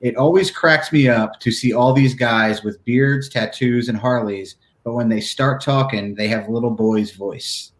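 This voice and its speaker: A man's voice: medium pitch, unhurried, few pauses. A man co-hosting a motorcycle channel livestream, easygoing and teasing, steering the chat to new questions.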